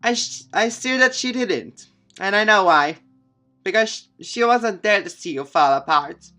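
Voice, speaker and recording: A man's voice: high voice, animated, measured pace, sharp tone, soft background music, pitched above the performer's own voice, exaggerated voice. A man voicing an upset, stubborn teenage girl in a visual novel, emphatic, hesitant and defensive. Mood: hurt, accusing. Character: upset teenage girl, stubborn, grudge-holding childhood friend.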